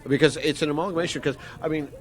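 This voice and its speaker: A man's voice: fairly high pitch, fairly even intonation, racing. Informal, joking male interviewer. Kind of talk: casual band interview.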